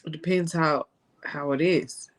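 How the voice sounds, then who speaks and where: A woman with a notably deep voice co-hosts a reaction show. She gives her opinions bluntly in loose, slangy casual chat. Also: steady tone, unhurried.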